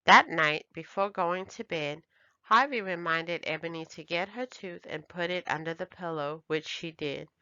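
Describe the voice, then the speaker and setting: A woman's voice: on the low side, normal speed, pushed and bright, no echo, highs cut off. A woman narrating a children's storybook as a scripted read-aloud for young readers.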